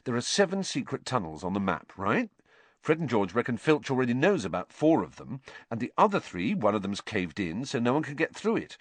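Harry, a man reading a calm teenage boy. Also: medium pitch, fairly quick, dry recording, pitched above the performer's own voice. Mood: explaining.

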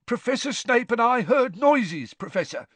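A man's voice: very high-pitched, sing-song, normal speed, husky, no echo, voice pushed up, heavily acted. A man voicing a whiny, aggrieved old school caretaker in an audiobook, pleading and complaining. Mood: eager, indignant. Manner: pleading, complaining, eager to report.

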